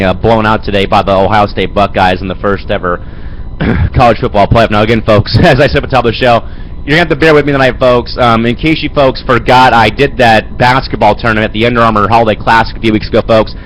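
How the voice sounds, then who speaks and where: Raspy, hoarse young man hosting. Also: lowish voice, fairly even intonation, fast talker, a little hiss or hum, rough recording. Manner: rambling, fills with "man" and "Chris". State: hoarse with a head cold. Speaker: host. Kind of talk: amateur sports show hosting. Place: home studio.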